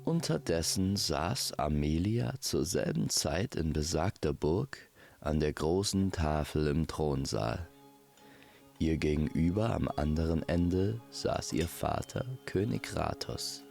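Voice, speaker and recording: Deep, slow, gravelly male storyteller. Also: animated, darkish tone, soft background music. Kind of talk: scripted fairy-tale narration.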